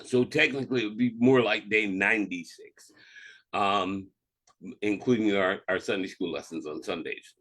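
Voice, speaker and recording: A man speaking as a reverend, reading scripture and devotional reflections in a measured, deliberate way. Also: lowish voice, fairly bright tone.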